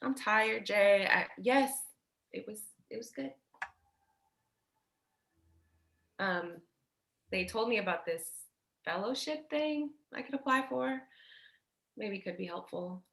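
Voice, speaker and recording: Actress as bright-voiced weary older sister. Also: female voice, medium pitch, animated, a little slow, vocal fry, echoey room. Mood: weary, reluctant. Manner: hesitant, guarded, blunt swearing. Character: tired, protective, foul-mouthed older sister. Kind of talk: naturalistic staged play reading.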